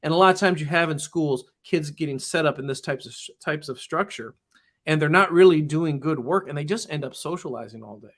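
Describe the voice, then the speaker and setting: A man's voice: fairly high pitch, brisk, fairly bright tone. A man who teaches in public schools, talking earnestly in an interview and often restarting his sentences with "you know". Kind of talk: casual interview talk.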